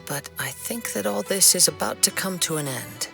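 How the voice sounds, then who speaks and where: An actor playing a gentle, composed young swordsman in a video game, calm and thoughtful, with music behind him. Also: high-pitched, moderate pace, vocal fry. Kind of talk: scripted game dialogue.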